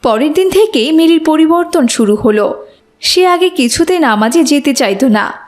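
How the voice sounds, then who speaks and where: Casting: female narrator for a kids' cartoon tale, high voice, scripted storytelling. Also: lively intonation, normal speed, a little hiss or hum.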